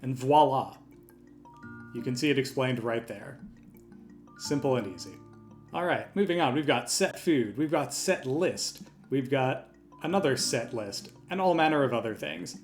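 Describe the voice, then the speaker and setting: A man hosting a software tutorial, talking through each step in an informal, explanatory way. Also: medium pitch, lively intonation, a little slow, soft background music. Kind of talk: step-by-step screen tutorial narration, conversational. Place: voice-over for a screen recording.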